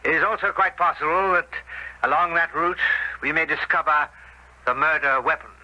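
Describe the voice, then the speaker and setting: A man's voice: fairly high pitch, fairly even intonation, natural voice. Radio mystery police inspector, man acting: methodical, thoughtful, hesitant delivery, muffled old recording. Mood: musing, brisk. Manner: deliberate, musing, with hesitations and muttered asides. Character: methodical, deliberate male Scotland Yard police inspector. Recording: dull, heavily noisy old radio recording.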